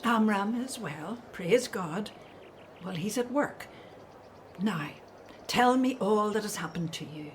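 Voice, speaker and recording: A woman's voice: medium pitch, expressive, takes it slowly, a little hiss or hum. A woman playing an anxious, loving elderly mother and grandmother in a radio drama. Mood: happy, eager.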